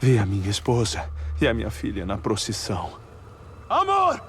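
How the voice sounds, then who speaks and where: A man with a Brazilian accent acting a grieving, eccentric scholarly scientist in a dubbed video game, telling things in a measured, reflective way. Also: on the low side, huge pitch swings, fairly bright tone, a little hiss or hum, full character voice. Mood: desperate anguish.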